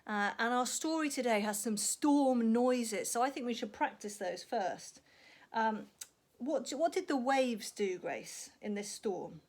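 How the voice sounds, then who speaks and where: A woman telling a story to young children in an animated, expressive way, prompting with questions and acting out sound effects. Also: a little high, measured pace.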